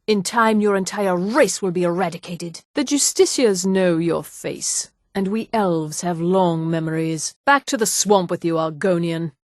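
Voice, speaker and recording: An actress voicing a haughty, disdainful high elf woman for a fantasy video game, with an imperious, formal delivery. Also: a little slow, hardly any pauses, dry recording, clean studio sound, natural voice. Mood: contemptuous. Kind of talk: video game character voice lines.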